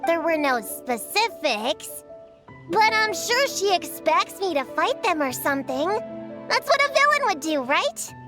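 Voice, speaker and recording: A woman voicing an earnest young girl in a game who calls herself a witch hunter and speaks in a halting, sincere way. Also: very high-pitched, very animated, music in the background, exaggerated voice. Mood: stubborn. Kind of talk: game voice acting.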